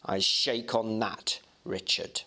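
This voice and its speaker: A man voicing a grave, inquisitive Victorian gentleman lawyer in a storybook, formal, deliberate and probing. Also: on the low side, steady tone, gravelly, heavily acted. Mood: grave, insistent. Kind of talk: dramatic reading of a Victorian novel.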